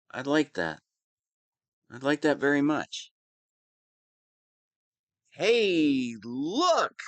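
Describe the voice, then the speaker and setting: A man's voice: mid-range voice, no room sound. A man playing a whiny, lovestruck young wannabe villain in an audio roleplay, petulant and defensive. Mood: smitten, gleeful. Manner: petulant, defensive, swinging between sheepish mumbling and indignant outbursts. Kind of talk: scripted audio roleplay monologue.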